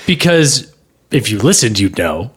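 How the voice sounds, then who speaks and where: A man's voice: mid-range voice, breathy edge. A man co-hosting a podcast in casual, comedic banter. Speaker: podcast co-host.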